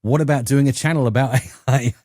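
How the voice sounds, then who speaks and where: A middle-aged man with a southern English accent, telling stories conversationally as an interview guest, often acting out quotes in an animated manner. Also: mid-range voice, fast talker, dry recording, studio quality. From England. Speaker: YouTube educator and interview guest.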